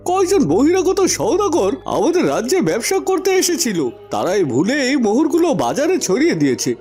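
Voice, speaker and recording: A man's voice: very high voice, darkish tone, heavily acted. A man voicing a pompous, scheming royal minister who declaims indignantly in a fairy-tale cartoon.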